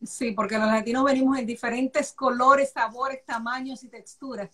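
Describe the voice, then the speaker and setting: A woman hosts a conversational interview and agrees readily, often restarting her sentences. Her voice is a little high. She speaks over a remote video call. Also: steady tone, moderate pace, sharp tone, husky, recorded from a distance. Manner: chatty and agreeable, with hesitant restarts. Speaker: host.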